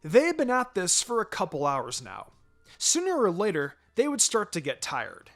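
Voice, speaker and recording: Lively story narrator over faint music. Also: mid-range voice, normal speed. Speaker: fan fiction reader. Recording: music behind the voice.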